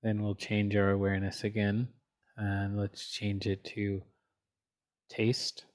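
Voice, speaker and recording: Male meditation teacher, low and even in tone, gentle delivery with plenty of space between phrases. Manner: gentle, unhurried, pausing often between phrases. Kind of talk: guided meditation.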